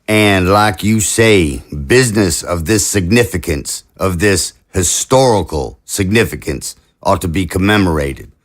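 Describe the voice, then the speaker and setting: A man's voice: low-pitched, animated, measured pace, hardly any pauses. A man playing a dignified, idealistic head of state in an audio drama, speaking in an earnest, genial and stately way.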